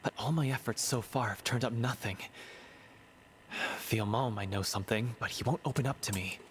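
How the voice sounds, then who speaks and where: A man's voice: fairly low pitch, brisk, close to an everyday voice, rough recording. A man playing an earnest, lovelorn young writer in a video game, speaking in a hesitant, heartfelt way. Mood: troubled.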